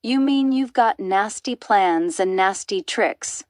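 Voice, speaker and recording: A woman's voice: medium pitch, slow pace, a little mellow, no room sound, clean studio sound, pitched above the performer's own voice, lightly acted. A woman voicing a big, stern elephant in a storybook, scolding with emphatic indignation.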